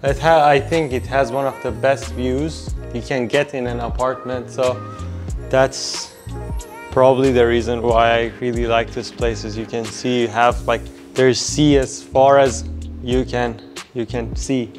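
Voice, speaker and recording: A man in his twenties talking casually as a vlog host, with hesitant pauses. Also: mid-range voice, steady tone. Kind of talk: casual road-trip vlogging. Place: mostly inside a car. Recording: music over the voice. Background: music.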